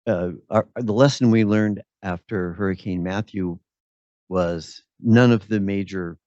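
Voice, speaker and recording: A man commenting at a symposium, speaking off the cuff in a halting way as he thinks aloud. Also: on the low side, a little mellow, dry recording. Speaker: birth center operator, symposium participant. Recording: dull lo-fi audio.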